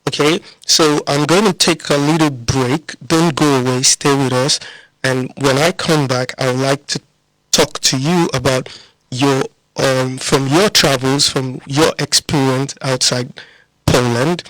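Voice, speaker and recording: A man's voice: medium pitch, steady tone, a little slow, a bit bright, low-quality recording. A man from Lagos with a Nigerian accent, hosting a live radio interview. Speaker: radio host.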